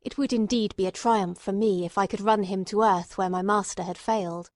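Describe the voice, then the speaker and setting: A woman reading classic detective fiction as an audiobook narrator, in a measured, formal way. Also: medium pitch, steady tone, no echo, clean studio sound.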